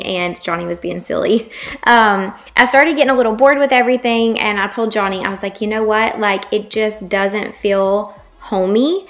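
A woman's voice: mid-range voice, fairly bright tone. A young woman, narrating her home vlog in a chatty, rambling way with plenty of fillers. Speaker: home vlogger. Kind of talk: casual voice-over narration.

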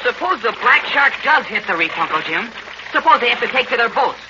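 An actor playing an eager, excitable American boy adventurer, earnest and exclamatory, in a 1940s radio serial. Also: extremely high pitch, brisk. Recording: muffled, noisy vintage radio recording.

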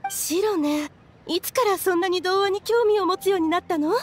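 A woman's voice: very high-pitched, normal speed, slight background noise. A woman playing a refined, guarded, controlling motherly woman, speaking in a polite, ladylike way in an anime game. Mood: puzzled. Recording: background music under the voice.

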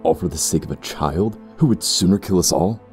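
Man acting very deep, haughty, cold clansman. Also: darkish tone, faint music behind, natural voice. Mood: angry, forceful. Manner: measured, haughty, formal. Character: cold, condescending, ruthless warrior clansman scolding his sister. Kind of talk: scripted audio roleplay acting.

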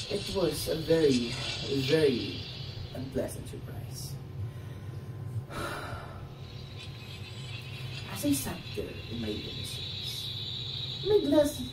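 A man's voice: sits high, exaggerated voice. A young man acting in a stage play as a nervous, defensive ex-convict, with a hesitant, theatrical delivery. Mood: anguished. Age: young adult. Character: nervous, defensive ex-convict pleading his innocence. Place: indoor theatre set, far camera. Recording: echoey stage, distant mic, faint noise.

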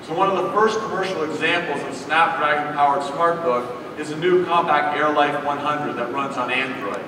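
A man's voice: a little high, normal speed. A man on a conference stage giving a polished, promotional keynote presentation. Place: large conference hall stage. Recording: echoey hall from far off with noise.

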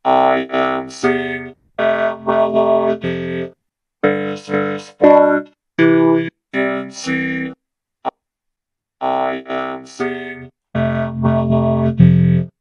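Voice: a bass voice, wildly swooping pitch, very slow, pauses often, clean tone, dry recording, muffled recording, faint music behind. A synthetic, robotic vocoder voice delivering melodic demo phrases.

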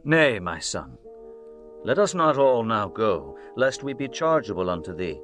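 A man's voice: fairly low pitch, sing-song, a little slow, fairly bright tone, faint music behind. An actor playing an authoritative, fatherly old king in a dramatized audio Bible, with regal, archaic formal speech. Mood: gentle refusal.

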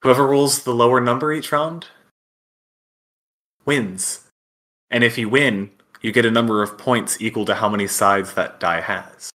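A man's voice: mid-range voice, steady tone, unhurried, a bit bright. A game master, a man, narrating and explaining a tabletop roleplaying game.

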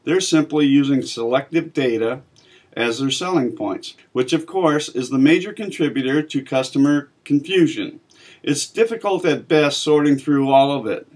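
A man in his fifties, a magazine editor, reading a scripted commentary to camera in a measured, plain way from a small office. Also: medium pitch, darkish tone, husky. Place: small office with bookshelf, indoors.

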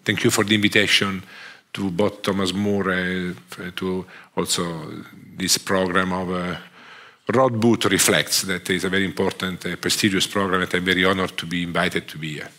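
A middle-aged man from Italy with a strong Italian accent, giving an academic lecture on a theatre stage, halting often with fillers and restarts. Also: low-pitched, fairly even intonation, sharp tone. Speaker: guest lecturer. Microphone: headset.

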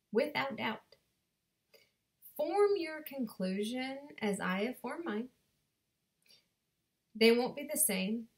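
A woman in her thirties recites her own spoken word poem into a webcam in a home bedroom. She takes her time, with a slow, rhythmic pace and deliberate pauses, and her voice sits slightly high for a woman. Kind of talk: spoken word poetry performance. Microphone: webcam at desk distance.

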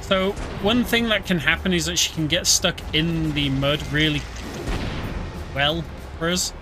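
A man talks over his gameplay in a relaxed, chuckling way. His voice sits a little high. Game music plays loudly behind him. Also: a little slow. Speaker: streamer. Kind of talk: casual game commentary.

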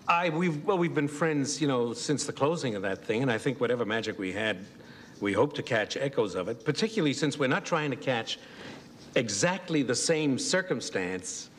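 A man's voice: medium pitch, animated, normal speed, a bit bright, a little hiss or hum. A man, a guest actor, talking in an easygoing, reflective way on a talk show.

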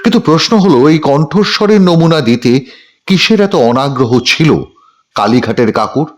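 A male news reporter narrates a scripted report in a fairly high voice. His intonation swings widely, and he delivers each line with dramatic emphasis. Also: very animated, moderate pace.

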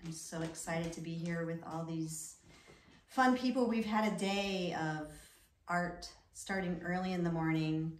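A woman with a lowish voice hosts a podcast in a chatty, upbeat way, with plenty of loose asides. She sounds distant in an echoey home dining room, with noise behind. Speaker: podcast host. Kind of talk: casual podcast chat. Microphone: far room camera.

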